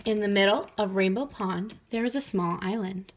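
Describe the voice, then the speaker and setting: Woman, teacher, medium-pitched, expressive delivery for young listeners, storybook read-aloud style. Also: normal speed, a little hiss or hum. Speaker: teacher reading aloud to pupils.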